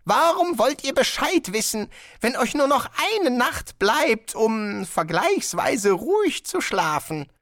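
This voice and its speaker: A man voicing a calm, polite guest master who calmly announces dreadful things, in an audiobook. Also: very high-pitched, no echo, studio quality, pitched above the performer's own voice, full character voice. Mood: smoothly menacing. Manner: courteous, unhurried, casually sinister. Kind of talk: audiobook character voice.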